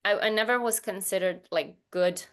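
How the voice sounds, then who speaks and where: A woman's voice: mid-range voice, normal speed, fairly bright tone. Informal, hesitant woman from Iceland. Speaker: podcast guest, professional dancer. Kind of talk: casual conversational interview.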